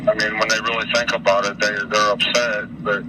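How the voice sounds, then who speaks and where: A middle-aged American man from Utah giving informal interview answers in a halting, repetitive, folksy way. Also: lowish voice, flat delivery, background noise. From Utah, United States.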